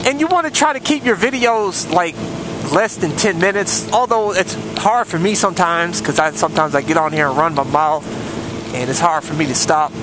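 A man's voice: on the high side, normal speed. A man vlogging from his motorcycle on country roads, talking bluntly and rambling with plenty of cussing, picked up by a helmet mic. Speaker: motovlogger. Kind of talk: casual riding commentary. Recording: loud wind and road noise from a helmet mic. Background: loud wind and engine noise.

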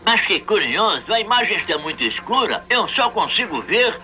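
A man's voice: high voice, very animated, moderate pace, sharp tone, soft background music, heavily acted, low-quality recording. A man voicing a bumbling, boastful cartoon duck who plays fortune teller, speaking theatrically. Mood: flustered, showy. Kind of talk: dubbed cartoon acting.

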